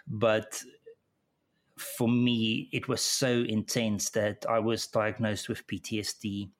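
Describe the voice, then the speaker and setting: A middle-aged man with a South African accent, telling his own story in an interview, halting and often repeating words as he restarts. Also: lowish voice, little pitch movement. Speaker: interview guest. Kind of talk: personal account in conversation.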